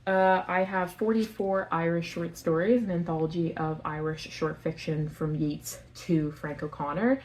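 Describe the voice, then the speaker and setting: A woman with a fairly low voice talks casually to her camera about books from the floor of her home. She rambles in a friendly way, leans on filler words and often stops to correct herself. A faint hiss of background noise sits under her voice. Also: steady tone, moderate pace, hardly any pauses, a little mellow, low-quality recording. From Canada. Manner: chatty, filler-heavy, corrects herself on names. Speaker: book vlogger.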